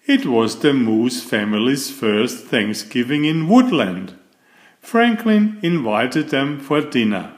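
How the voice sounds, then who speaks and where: A man reading a children's picture book aloud as an expressive storyteller with deliberate phrasing. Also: mid-range voice, reverberant. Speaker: storybook reader.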